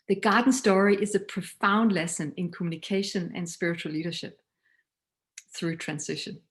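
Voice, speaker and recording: Earnest Danish-accented woman, measured talk. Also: fairly low pitch. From Denmark. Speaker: mission leader. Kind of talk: prepared talk.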